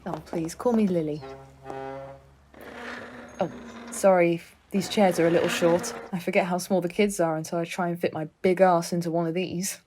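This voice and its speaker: A woman's voice: on the low side, steady tone, normal speed, background noise, close to an everyday voice. A woman playing a chatty, eager-to-please schoolteacher in a naturalistic audio drama, apologetic and a little indiscreet. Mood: hospitable, flustered. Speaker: actor in an audio play.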